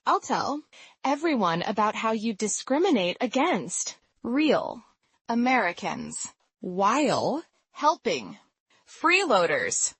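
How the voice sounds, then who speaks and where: A woman's voice: on the high side, very animated, takes it slowly, fairly bright tone, slightly rough, no room sound, full character voice. A woman voicing an entitled, angry female customer who is indignant and accusing, in a dramatized story.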